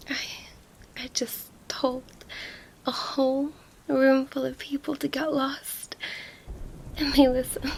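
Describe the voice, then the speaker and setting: Woman acting high-voiced, slow-spoken emotional young princess. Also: slight background noise. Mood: relieved, tender. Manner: hushed, breathy, halting, emotional delivery. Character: young princess, commanding yet tender, distraught over her knight. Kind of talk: ASMR roleplay, emotional dramatic monologue.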